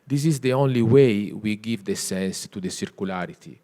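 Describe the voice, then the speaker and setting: Italian-accented middle-aged man, non-native English, slow hesitant delivery, low register. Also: mellow. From Italy. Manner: hesitant, many filler sounds, searching for English words. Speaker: CEO of a textile mill, panel guest. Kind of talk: panel discussion. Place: retail store event space.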